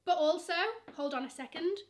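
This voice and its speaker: Young girl doing a shopping vlog, sassy and dramatic, high voice. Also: brisk, a little mellow, a little hiss or hum. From England. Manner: sassy, gushing, calls bags "she". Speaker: fashion vlogger. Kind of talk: chatty online shopping commentary. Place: bedroom with shelves of shoes.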